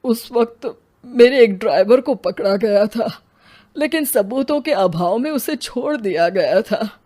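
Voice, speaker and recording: A heartbroken old village headman , voiced by a woman, speaks high and slow, his words shaking with tears. Each line drags as grief wears him down, in a cartoon horror tale. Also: expressive, warm tone. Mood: sorrowful. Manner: slow, sobbing, breaking into weeping. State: crying. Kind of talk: Hindi cartoon voice acting.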